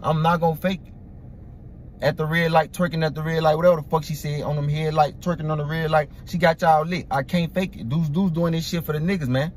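A young man hosting a music reaction video from a parked car, talking excitedly in profane slang into a phone held at arm's length. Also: on the high side, a little fast, slight background noise. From Iraq. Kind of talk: casual reaction commentary. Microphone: phone camera at arm's length.

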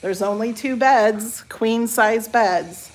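A woman's voice: on the high side, slow pace. A woman chatting informally as she hosts a casual house tour, recorded on a handheld phone. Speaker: host giving a house tour. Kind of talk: casual walkthrough chat. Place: rooms of a small house, then porch and driveway. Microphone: handheld phone, operator behind camera. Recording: noisy handheld recording on the move.

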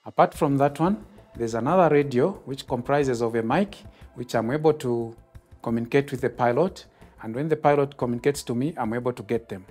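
A middle-aged Zambian man speaks at a medium pitch with soft music underneath. His delivery is formal and methodical, that of a controller explaining procedures in an interview. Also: brisk. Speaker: air traffic controller, tower. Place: glass-walled control tower room, indoors. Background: background music.

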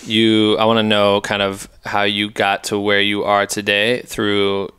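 A man's voice: lowish voice, monotone, measured pace, pushed and bright, clear, resonant tone, no room sound. A man in his thirties hosting a casual podcast on a headset mic, blunt and swearing freely. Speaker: podcast host, filmmaker. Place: small dining room, indoors.

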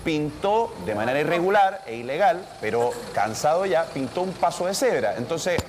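A man giving measured, formal commentary as a studio news anchor. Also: a little high, lively intonation, big-room sound, a little hiss or hum.

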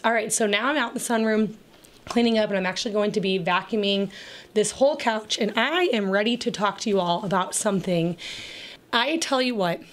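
A young woman with a raspy, crackly voice that sits in an ordinary middle pitch. She chats casually and rambles through her day of chores, dropping fillers and small laughs as she goes. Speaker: homemaking vlogger. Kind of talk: casual voice-over chat.

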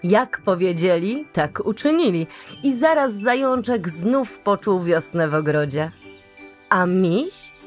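Slow, low-pitched storybook narrator, woman, faint music. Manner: calm, unhurried.

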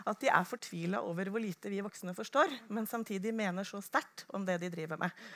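Woman moderating a conference, medium pitch. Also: fairly bright tone. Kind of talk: spoken introductions to an audience. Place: small stage in a conference hall with audience.

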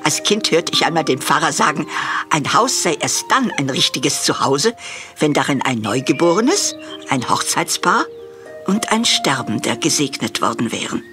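An elderly farm woman in a German radio play. Her pitch moves freely, yet she stays prim, dry and measured, wistful underneath. Music plays behind her. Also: big pitch swings. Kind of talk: German audio drama acting.

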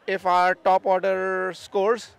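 A man's voice: high-pitched, completely flat delivery, slow pace, creaky, clean tone. A middle-aged man giving his opinions as a sports fan, hesitating and repeating words, outdoors on a handheld microphone. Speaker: sports fan being interviewed. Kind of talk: casual post-match opinion. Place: outdoors outside a stadium. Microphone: interviewer's handheld microphone.

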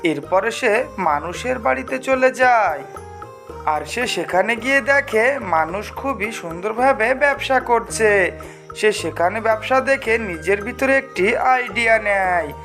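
High-voiced female children's story narrator. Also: lively intonation, unhurried, a bit bright, soft background music.

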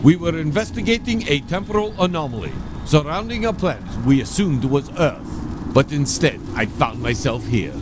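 A man's voice: fairly high pitch, very animated, moderate pace, noisy background. A man playing an earnest, commanding starship captain with a formal, inquisitive manner in a sci-fi comedy audio drama. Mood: puzzled, guarded.

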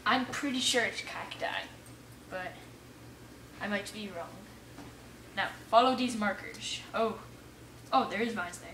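A woman giving casual let's play commentary, excitable, exclaiming and muttering to herself as she plays. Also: mid-range voice, measured pace, pauses often. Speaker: gamer playing an adventure map for viewers. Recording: roomy echo, distant mic, faint noise.

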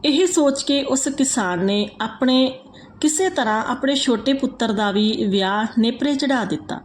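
A woman reading out a moral folk tale as a storyteller, in a steady, even manner. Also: a little high, measured pace, slight background noise.

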